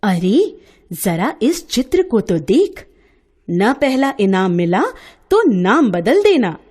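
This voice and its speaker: A woman's voice: mid-range voice, very animated, slow, a little mellow, slightly rough, full character voice. A woman voicing a cheerful, teasing young woman painter, proud and boastful about her art, in a storybook tale. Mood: proud, eager.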